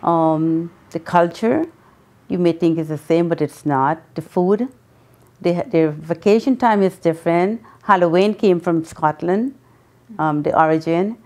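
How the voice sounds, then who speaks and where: Earnest woman from Guyana, low voice. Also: mellow. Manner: earnest, with many pauses and ums. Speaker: guest, memoir author. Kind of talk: interview answers.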